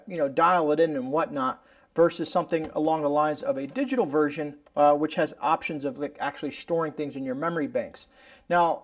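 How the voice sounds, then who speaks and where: A middle-aged man with a fairly high voice walks through a product demo. He talks informally and wanders through his explanations, tacking verbal tags onto phrases. The recording is slightly dull. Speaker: host of a prepping product review channel. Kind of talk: casual product demonstration. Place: indoors in a room at home.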